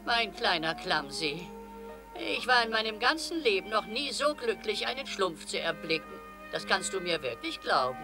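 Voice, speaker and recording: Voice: mid-range voice, big pitch swings, moderate pace. A performer voicing a kind, commanding, fatherly old male gnome in a cartoon. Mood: relieved. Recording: music behind the voice.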